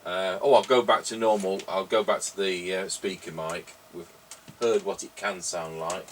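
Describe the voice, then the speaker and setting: Middle aged bloke rambling through stories on a radio test, bit low voiced, mic sounds far away. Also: male voice, steady tone, moderate pace. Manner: rambling, anecdotal. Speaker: radio repairer and presenter. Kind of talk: casual chat and radio test commentary. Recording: noisy, distant microphone.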